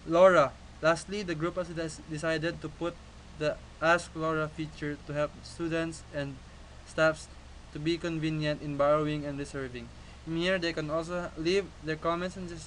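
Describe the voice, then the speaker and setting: A nineteen-year-old man from the Philippines, a student presenting a class project and reading his slides haltingly. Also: on the high side, fairly bright tone, a little hiss or hum, rough recording. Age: teens. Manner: reads slides haltingly, with restarts.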